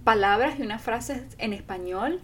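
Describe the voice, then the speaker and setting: A woman's voice: a little high, moderate pace, a little hiss or hum. A woman co-hosting a casual couple podcast, talking earnestly and acting out the things people say. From Venezuela. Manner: earnest, animated, acts out quoted speech. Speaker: podcast co-host.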